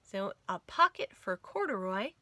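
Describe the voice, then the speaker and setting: Bright, very animated woman reading to children. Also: mid-range voice, a little slow, slight background noise. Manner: expressive, sing-song, exaggerated for small children. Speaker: children's library storytime host. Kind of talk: animated picture-book read-aloud for young children.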